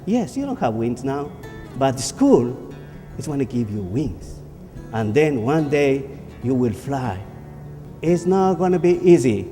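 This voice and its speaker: A man's voice: mid-range voice, very animated, unhurried, pauses often, dark tone, big-room sound, soft background music. A man from Ecuador, giving a motivational speech as emphatic storytelling with repeated phrases. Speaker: motivational speaker.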